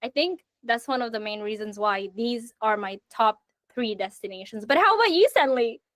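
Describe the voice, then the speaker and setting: A woman from Italy chatting casually as a panel guest, animated and laughing between phrases. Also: fairly high pitch, normal speed, no room sound, studio quality. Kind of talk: casual chat.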